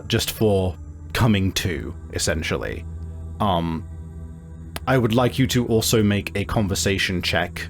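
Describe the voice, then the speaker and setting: A man acting as game master, casually instructing players on rolls and rules. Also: fairly low pitch. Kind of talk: casual running of tabletop game.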